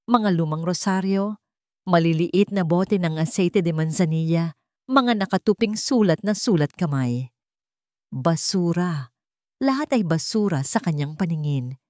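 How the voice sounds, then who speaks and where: A woman reads a dramatic story aloud in a calm, measured voice pitched on the low side. The sound is dry and close, with no room around it. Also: no room sound. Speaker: narrator. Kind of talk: scripted storybook narration.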